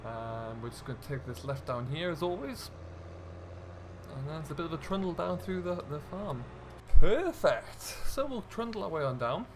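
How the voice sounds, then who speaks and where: A man's voice: on the high side, big pitch swings, normal speed, noisy background. A man giving a chatty, easygoing running commentary to viewers as he plays a farming game. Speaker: host, gaming video creator. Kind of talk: casual let's-play commentary of a farming simulator.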